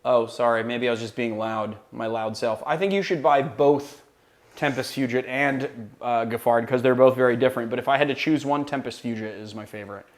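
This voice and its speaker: A man with a loud, resonant voice hosting a cocktail livestream, chatting casually and answering viewer questions. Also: medium pitch, fairly quick. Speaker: cocktail channel host.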